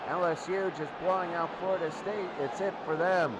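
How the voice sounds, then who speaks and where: A man's voice: high-pitched, fairly even intonation, moderate pace. A man calling a football game as a live play-by-play commentator. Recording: loud crowd and noise behind commentary. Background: loud crowd, stadium-like noise.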